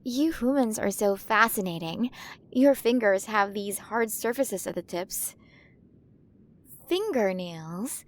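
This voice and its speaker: A woman's voice: mid-range voice, expressive, takes it slowly. A woman playing a towering alien queen in an ASMR roleplay, cooing to the listener with doting, possessive curiosity about tiny humans. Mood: fascinated, playful. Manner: cooing, fascinated, quaintly mispronouncing human words.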